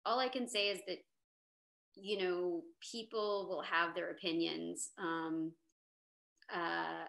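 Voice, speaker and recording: A woman actor in her thirties telling a story on a video-call panel, animated and chatty, with many fillers and restarts. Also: fairly low pitch, slow pace, gravelly. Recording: roomy echo.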